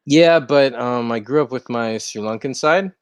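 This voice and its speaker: A man's voice: mid-range voice, normal speed, smooth, no echo. A young American man hosting a casual livestream, rambling along in an easygoing, slangy way. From United States. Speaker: livestream host. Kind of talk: casual chat. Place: rented room at home.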